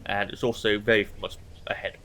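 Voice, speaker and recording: A man's voice: fairly low pitch, level tone, brisk, sharp tone, a little hiss or hum. A man with a blocked nose from hay fever, giving casual, analytical solo commentary on a recorded esports match. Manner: casual, analytical, thinking aloud.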